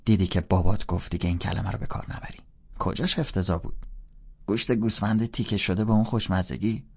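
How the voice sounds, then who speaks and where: A man voicing a fussy, worried middle-aged mother who gently scolds and questions, in a dull lo-fi recording. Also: deep voice, fairly even intonation, breathy edge. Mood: fussing.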